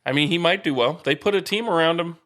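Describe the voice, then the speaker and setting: A man's voice: a little high, fast, fairly bright tone. An American man co-hosting a sports podcast, talking casually and reasoning through his opinions in a conversational way.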